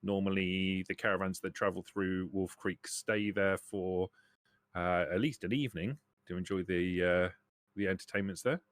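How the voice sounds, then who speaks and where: A man voicing a businesslike trader in a tabletop game, dry, knowing and unhurried. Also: low voice, fairly even intonation, lightly acted. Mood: politely probing. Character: businesslike male trader on a radio call.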